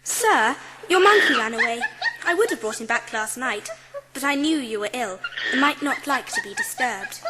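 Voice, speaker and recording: Casting: gentle British schoolgirl of seven, light high voice, animated, polite and earnest, for dramatised storybook. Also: moderate pace, big-room sound, heavy background noise. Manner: well-spoken, sweet, earnest. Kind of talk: dramatised audiobook acting.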